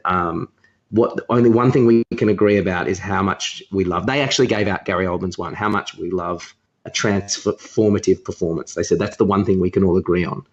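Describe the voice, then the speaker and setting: A man's voice: low-pitched, lo-fi sound. A man co-hosting a podcast, chatting casually and giving his opinions. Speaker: podcast co-host.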